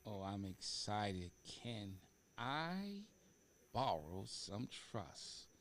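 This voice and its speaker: Guy hosting a talk show, casual, kinda halting, repeats words, bit of background noise. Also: on the low side, big pitch swings, a bit bright.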